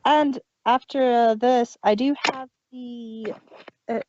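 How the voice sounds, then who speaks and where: A woman's voice: fairly high pitch, fairly even intonation, slow pace, background noise. A woman co-hosting a casual review podcast, chatting with fan enthusiasm and trailing restarts. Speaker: podcast co-host. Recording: dull lo-fi dry sound.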